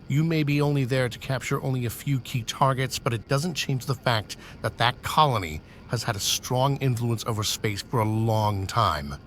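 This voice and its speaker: A man's voice: mid-range voice, fairly bright tone, a little hiss or hum, natural voice. A man voicing a stern senior military officer who gives clipped, commanding orders.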